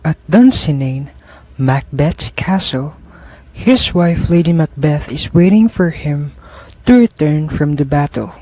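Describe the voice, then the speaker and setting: A young man narrating a student radio drama in a slow, subdued storytelling manner. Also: a little high, animated, darkish tone, dry recording, rough recording.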